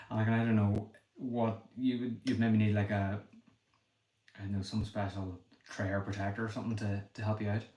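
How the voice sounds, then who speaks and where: Fast-talking Northern Irish man, low flat voice. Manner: thinks aloud, self-deprecating, apologetic; talks quickly. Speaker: livestreamer building a brick set. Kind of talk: casual livestream chat while building. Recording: echoey room with faint noise.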